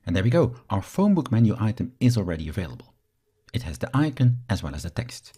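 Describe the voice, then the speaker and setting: A man from Belgium, a native Dutch speaker, explains each step as an instructor in a software screencast tutorial. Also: on the low side, sing-song, fast, husky.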